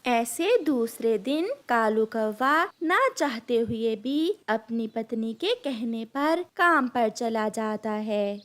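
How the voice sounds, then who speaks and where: A woman's voice: on the high side, animated, slow, few pauses. A woman narrating a scripted children's cartoon story.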